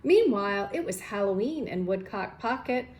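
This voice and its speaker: A woman's voice: mid-range voice, expressive, normal speed, a little mellow, reverberant. A woman speaking informally as an elementary school teacher, chatting and reading a storybook aloud to children.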